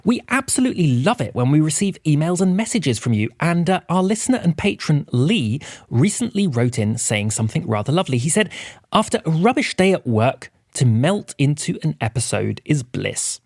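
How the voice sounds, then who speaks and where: A man's voice: a little high, sing-song, normal speed, a little mellow, dry recording, studio quality. A man speaking as a podcast host, reading a scripted announcement to listeners.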